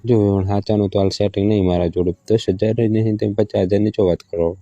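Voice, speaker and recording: A man's voice: fairly low pitch, steady tone, quick pace, mellow, no echo, lo-fi sound. A man with a Gujarati accent playing a hard-up village lover who stalls, placates and bargains in a casual phone conversation. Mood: dissuading.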